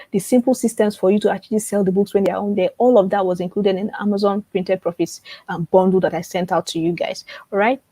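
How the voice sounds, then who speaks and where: A woman hosts an informal live online class in a medium-pitched voice. She repeats key phrases and checks in with viewers often. The sound is close and dry, with little room echo. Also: mellow. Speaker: online publishing coach hosting a live webinar. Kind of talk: informal live teaching and promotion, answering viewer questions.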